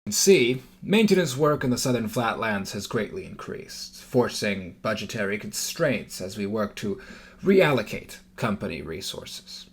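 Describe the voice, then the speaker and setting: An actor playing a wordy, officious maintenance manager in an audio drama, presenting in stiff corporate style. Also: male voice, lowish voice, very animated, measured pace, breathy edge, heavily acted.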